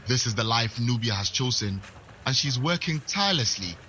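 A man narrating a sports news report in a measured way. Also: medium pitch, lively intonation, bright, forceful tone, slight background noise.

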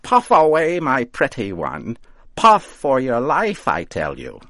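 A man voicing an old witch woman, gleeful and commanding, with a high, loud, drawn-out delivery. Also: wildly swooping pitch, dry recording, studio quality, full character voice.

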